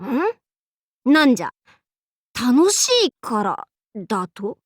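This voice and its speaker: A woman playing a brash, cocky young feudal warlord in an anime game, with rough, masculine, archaic and boastful speech. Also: a little high, sing-song, takes it slowly, no echo, clean studio sound, exaggerated voice. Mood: musing, curious. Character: brash, cocky young feudal warlord, self-styled fool, fiercely loyal. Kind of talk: anime game character voice acting.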